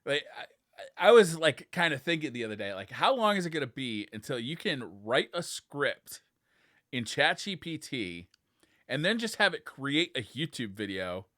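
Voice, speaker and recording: A man's voice: mid-range voice, very animated, normal speed, a bit bright, no room sound, clean studio sound. A man co-hosting a podcast in a casual, conversational style. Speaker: podcast co-host.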